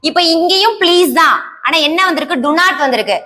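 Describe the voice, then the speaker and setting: Bright high-voiced woman teaching grammar. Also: animated. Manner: explains step by step, asks the class questions and answers them herself; reads English example sentences out very slowly, word by word. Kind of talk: classroom grammar lesson, teaching students.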